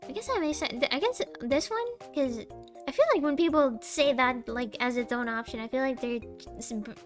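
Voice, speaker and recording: A young woman with a small, sweet voice, chatting casually on a live stream, rambling and giggling between deadpan jokes. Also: high-pitched, moderate pace, faint music behind.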